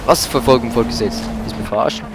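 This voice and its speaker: A man's voice: medium pitch, quick pace. A man giving casual, colloquial gameplay commentary with exclamations as he plays. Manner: colloquial, mildly swearing, exclaims while playing. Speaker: let's play host. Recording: loud music and car noise behind. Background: game music and car engines.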